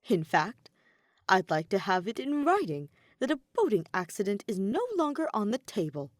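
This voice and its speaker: A woman's voice: medium pitch, big pitch swings, moderate pace, no room sound, clean studio sound, natural voice. A woman voicing an earnest, spirited young nobleman in love, speaking in an emphatic, formal, teasing way for a story.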